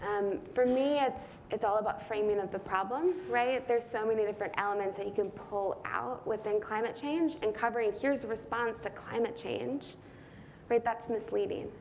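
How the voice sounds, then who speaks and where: A woman's voice: on the high side, normal speed, darkish tone, slight background noise. A young woman answering audience questions at a talk, thinking aloud and often tagging her points with "right?". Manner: earnest, thinking aloud, frequent "right?" tags. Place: conference room.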